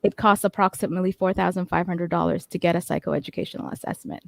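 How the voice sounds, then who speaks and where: A woman's voice: on the low side, fairly even intonation, fast, creaky. A woman guest from Canada explaining a point in detail on a panel, with frequent restarts. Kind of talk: panel discussion.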